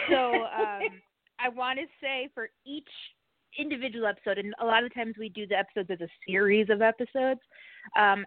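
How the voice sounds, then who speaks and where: A woman speaks in an earnest, detailed way, as a podcaster being interviewed. Her pitch is average, and the sound is close and dry but somewhat muffled, with a limited band. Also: no room sound. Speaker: true crime podcast host being interviewed as a guest. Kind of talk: conversational interview answers.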